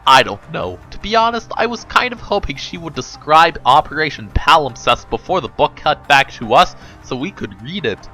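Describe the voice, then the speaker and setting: A man's voice: a little high, sing-song, normal speed, few pauses, sharp tone, faint music behind, exaggerated voice. A man voicing a breezy, scheming male pony in a storybook reading, with an offhand, casual manner.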